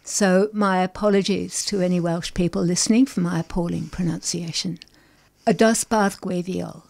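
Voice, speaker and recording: A middle-aged woman from England, a poet guest on a radio show, reading her poems with deliberate care and talking about her work. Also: on the low side, gravelly, darkish tone. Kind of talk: poetry reading and interview.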